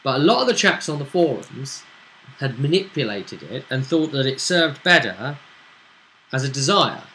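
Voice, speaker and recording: A man's voice: medium pitch, very animated, normal speed, sharp tone, a little hiss or hum, low-quality recording. A man casually recapping a tabletop role-play session as game master, rambling as he explains it.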